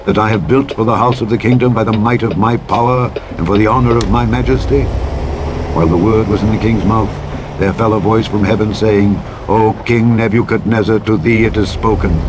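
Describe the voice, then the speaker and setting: A man reading the Bible aloud as a narrator, in a solemn, measured, declamatory style. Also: lowish voice. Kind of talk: solemn scripture reading, King James English. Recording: truck engine and reversing beeps over reading. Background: truck simulator game vehicle noise.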